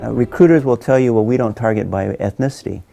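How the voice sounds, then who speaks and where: A man explains in a measured interview how the military targets young people. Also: medium pitch, gravelly, mellow, faint music behind.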